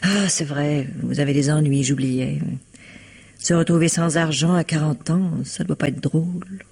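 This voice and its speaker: A woman's voice: sits low, fairly even intonation, measured pace, vocal fry, darkish tone, slightly rough, full character voice. An actress playing a self-assured, flirtatious society woman in a French mystery radio drama, polished, coquettish and imperious. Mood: coaxing, teasing.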